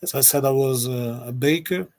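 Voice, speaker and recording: A man in his forties from Portugal with a Portuguese accent, talking without a script on a solo livestream and pausing often to restart his phrases. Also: medium pitch, a little mellow. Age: 40s. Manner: hesitant, pauses often with restarts and fillers. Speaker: streamer. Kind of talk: unscripted solo livestream chat.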